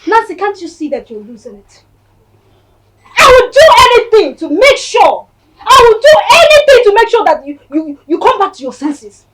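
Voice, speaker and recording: A woman's voice: high voice, very animated, a little fast, hardly any pauses, background noise. A woman acting as a blunt younger sister who scolds and mocks her sibling. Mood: scolding. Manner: mocking and scolding. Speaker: actor in a comedy skit. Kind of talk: comic skit acting.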